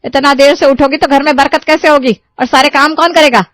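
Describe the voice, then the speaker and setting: A woman's voice: high-pitched, steady tone, brisk, a bit bright. A woman voicing a nagging, sarcastic middle-aged mother-in-law in a cartoon story, chiding with rhetorical questions. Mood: scolding. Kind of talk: Hindi cartoon moral story voice acting.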